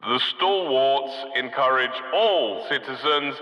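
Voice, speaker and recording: A man voicing a formal, dry city loudspeaker announcer in a game, reading public announcements in a bureaucratic, deadpan manner. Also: medium pitch, slow, echoey room, in a large hall, highs cut off. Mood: official.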